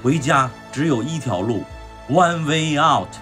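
A man acting a defiant prison foreman who gives a rousing, deliberate speech to fellow inmates in a radio play. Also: a little high, lively intonation, music in the background. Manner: rousing, deliberate, emphatic commands. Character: prison foreman rallying inmates over a loudspeaker, commanding, defiant. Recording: dramatic score under the voice.

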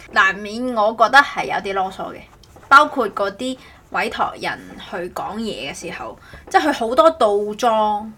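A female host with a Cantonese accent and a slightly husky voice. Her pitch moves around in a lively way as she chats casually, often stopping to rephrase. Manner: halting, self-correcting. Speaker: podcast host. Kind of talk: casual chat about making an audio show.

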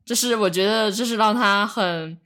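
A woman's voice: medium pitch, normal speed, a bit bright. A woman hosting a podcast in casual chat, playful and bantering. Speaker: podcast host.

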